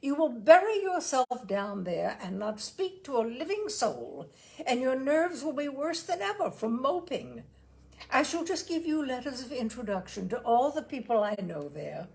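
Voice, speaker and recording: A brisk, bossy storybook sister, put on by a woman reader. She admonishes emphatically, pitched up from the reader's own creaky voice. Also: very animated, heavily acted. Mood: admonishing.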